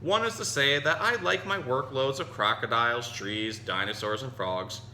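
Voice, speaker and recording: Guy doing happy polite green crayon, storybook, super bright voice, earnest peacemaker, echoey. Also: mid-range voice, normal speed. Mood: cheerful, earnest. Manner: earnest, congratulatory. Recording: roomy echo, some noise.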